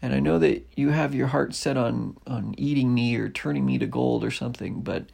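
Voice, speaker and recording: A man's voice: mid-range voice, moderate pace, gravelly, darkish tone, slightly rough. A man voicing a brave but flustered young girl on a quest, talking in a breathless, apologetic, stammering way. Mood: flustered pleading.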